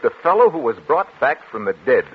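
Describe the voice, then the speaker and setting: An actor playing a troubled, solemn doctor in a formal, deliberate 1940s radio drama style. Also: male voice, medium pitch, big pitch swings, breathy edge. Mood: animated, explaining. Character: troubled, solemn male doctor and psychiatrist narrating his confession. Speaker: actor, narrator and lead. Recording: dull, noisy 1940s radio recording.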